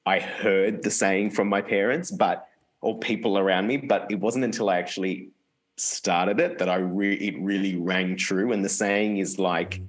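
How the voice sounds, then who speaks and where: Man, deep voice, laid-back podcast chat, rambly. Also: fairly even intonation, normal speed. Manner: rambling, frequent "like" fillers, open and earnest. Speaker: podcast co-host. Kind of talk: casual conversation.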